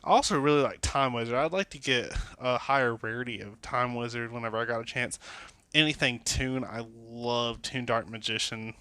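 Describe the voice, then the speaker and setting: A man rambles through a casual show-and-tell, tipsy and sniffly with a cold, full of fillers and restarts. His voice sits at an ordinary middle pitch. It is recorded close on a phone in a dry, dead-sounding room. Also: normal speed, pushed and bright, smooth, no room sound. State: tipsy from drinking. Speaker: card collector vlogger. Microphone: phone camera.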